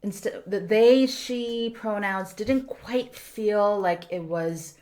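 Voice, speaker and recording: A woman's voice: mid-range voice, slow pace. A woman in her thirties from South Korea, a native Korean speaker, chatting reflectively as a podcast guest. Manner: reflective, animated, sprinkles in Korean words. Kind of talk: casual podcast chat.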